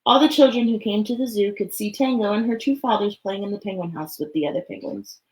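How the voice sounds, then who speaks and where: A woman hosting a children's story time, reading aloud and chatting informally, often thinking out loud as she goes. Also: medium pitch, quick pace, breathy edge, rough recording. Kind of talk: reading a picture book aloud, then casual chat to children.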